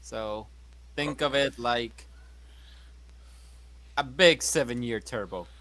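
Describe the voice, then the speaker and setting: A man's voice: medium pitch, takes it slowly, fairly bright tone, no room sound. A man casually improvising in character at a tabletop game, speaking bluntly and with swearing. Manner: blunt, profane.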